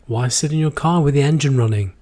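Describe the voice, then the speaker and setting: Homme animateur de podcast enrhumé, voix fatiguée. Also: male voice, mid-range voice, warm tone. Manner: slow deliberate reading, then opinionated ranting. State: sick, losing his voice. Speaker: podcast host. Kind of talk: solo podcast with poetry reading and rants.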